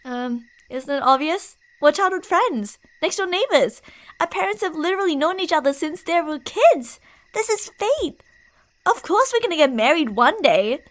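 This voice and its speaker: High-pitched, possessive yandere young woman played by an actress. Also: expressive, normal speed. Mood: delusional, insistent. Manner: teasing, insistent, emotionally volatile. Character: possessive delusional yandere young woman, childhood bully turned stalker. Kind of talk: ASMR roleplay monologue.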